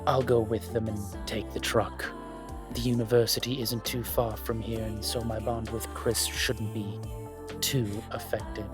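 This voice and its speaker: A man playing a blunt, protective male spirit in an audio drama, with a husky voice and a matter-of-fact delivery. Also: fairly low pitch, level tone, unhurried, music in the background, close to an everyday voice. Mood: calm, practical. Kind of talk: audio roleplay acting.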